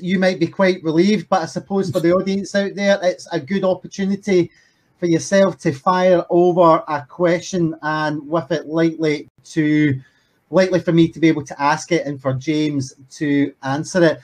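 A man's voice: high voice, fairly even intonation, darkish tone. A man hosting a webinar over a video call, reading out audience questions with lots of ums and hesitations. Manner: hesitant, filler-heavy, reads questions slowly.

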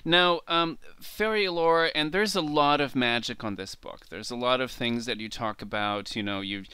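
A man's voice: mid-range voice, moderate pace, pushed and bright, clear, resonant tone, no room sound. A man hosting an interview show, effusive and repetitive, stumbling over his phrasing. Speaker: talk show host. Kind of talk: casual interview chat.

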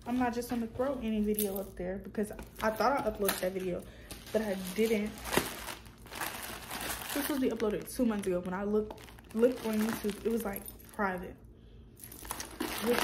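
A young woman talks straight to her camera in a small room at home, vlogging in a loose, chatty way. She wanders off track and corrects herself often. Her pitch sits in the middle, and steady background noise runs under the recording. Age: young adult. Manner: rambling, self-correcting, chatty with viewers. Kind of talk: casual chat to camera.

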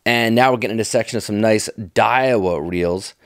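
A man's voice: fairly low pitch, fairly quick, no echo. A middle-aged American man giving casual, off-the-cuff commentary in a folksy, enthusiastic, nostalgic manner. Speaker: fishing tackle vlogger.

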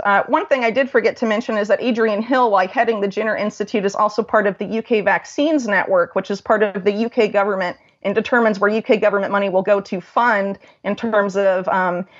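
Low-voiced woman explaining in an interview, lo-fi audio. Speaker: interviewed investigative researcher. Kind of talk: informal explanatory interview commentary.

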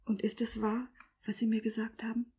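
An actress playing a gentle, well-bred young lady in a 1950s German radio drama, polite, hushed and earnest. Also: a little high, little pitch movement, a little fast, slightly rough. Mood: alarmed.

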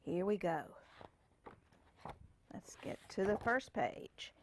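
An older woman reading a picture book aloud to a child, deliberate and pausing for the child to join in. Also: mid-range voice, expressive, a little hiss or hum. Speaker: storyteller grandmother reading to a child. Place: screened porch.

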